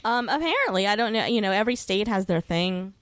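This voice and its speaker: A woman's voice: mid-range voice, animated, quick pace, dry recording. An American woman chatting casually as a podcast guest, informal and wry, swearing freely and wandering into asides. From United States. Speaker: podcast guest who hosts her own show.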